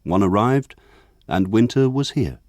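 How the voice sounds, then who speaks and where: An actor playing a grown man looking back on his village childhood, narrating in a measured, lyrical way. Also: lowish voice, expressive, background noise. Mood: wistful. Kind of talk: scripted literary narration for radio drama.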